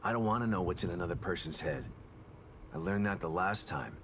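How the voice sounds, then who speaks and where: Grim middle-aged American game detective, very deep voice, brooding, faint noise behind. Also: male voice, fairly quick, a bit bright. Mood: bitter, skeptical. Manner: brooding, skeptical. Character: weary, grim, guarded male detective father. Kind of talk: video game cutscene acting.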